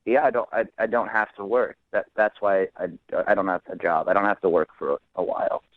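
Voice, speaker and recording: A man speaking casually as a phone guest on a radio show, in a halting, earnest way. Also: fairly low pitch, no room sound. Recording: thin band-limited phone line.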